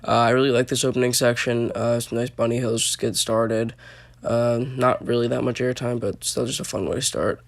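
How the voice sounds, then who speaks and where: Young male vlogger, hoarse and gravelly, loose filler-heavy voice-over. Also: medium pitch, little pitch movement, moderate pace. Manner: rambling with many fillers. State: hoarse from allergies. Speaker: roller coaster reviewer. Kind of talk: casual voice-over commentary.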